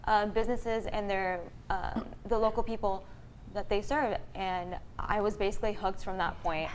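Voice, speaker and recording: A woman's voice: medium pitch, a little mellow, slight background noise. A young woman from the United States answering casually as an interview guest, often saying "like". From Houston, United States. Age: young adult. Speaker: fellowship guest, college student.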